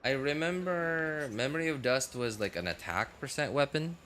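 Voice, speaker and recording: A man hosting a game livestream, rambling casually and excitably, exclaiming and musing aloud. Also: mid-range voice, moderate pace, slight background noise. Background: game music.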